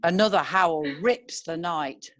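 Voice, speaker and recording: Woman, narrator, low pitch, scripted screenplay narration, reading scene directions. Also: unhurried, lo-fi sound.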